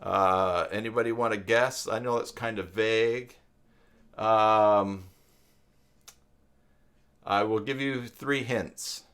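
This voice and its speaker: A man, chatting casually as a live stream host in a halting, rambling way. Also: fairly low pitch, fairly even intonation, a bit bright, smooth. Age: 50s. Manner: rambling, halting, conversational, thinking aloud. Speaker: live stream host, web tutorial presenter.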